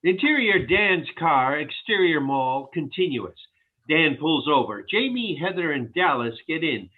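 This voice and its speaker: A man's voice: on the high side, very animated, measured pace. A man reading stage directions aloud as the narrator of a virtual table read. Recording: tinny phone-like line.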